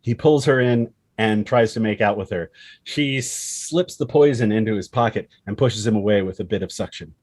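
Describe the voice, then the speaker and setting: A man with a husky voice reading dramatic screenplay stage directions as narrator. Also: on the low side, moderate pace, a little mellow.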